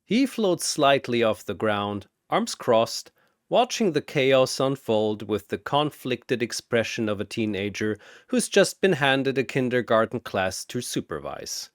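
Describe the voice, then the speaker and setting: A man narrating a fantasy battle in a bombastic, theatrical style with drawn-out emphasis, like an arena announcer. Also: medium pitch, very animated, dry recording, studio quality.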